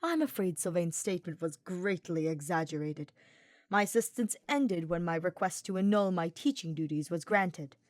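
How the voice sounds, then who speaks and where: Lady doing a grumpy dark mage guy, sardonic n formal, audiobook character, close dry sound. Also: fairly low pitch, animated, moderate pace, dry recording, close to an everyday voice. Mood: dismissive. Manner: dry, dismissive, formal phrasing. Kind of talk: fan fiction audiobook character voice.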